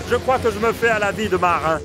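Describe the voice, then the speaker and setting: A man voicing a jolly, theatrical vampire father in a cartoon, hearty and excitable. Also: high-pitched, brisk, over a noisy crowd, close to an everyday voice. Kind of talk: exaggerated cartoon dub acting. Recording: very loud music behind.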